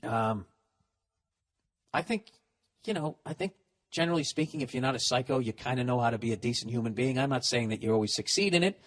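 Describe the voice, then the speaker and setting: A man's voice: mid-range voice, expressive, fairly quick, pauses often, a bit bright. A man in his forties in a solo comedic podcast rant, profane and sarcastic. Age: 40s. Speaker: podcast host.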